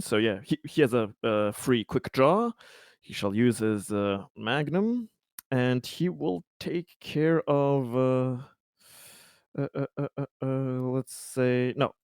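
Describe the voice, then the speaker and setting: Man, tabletop player, gravelly voice, animated pitch, hesitant with fillers. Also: slow pace, no echo, studio quality. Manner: hesitant, many fillers, chuckling. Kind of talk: casual tabletop game play.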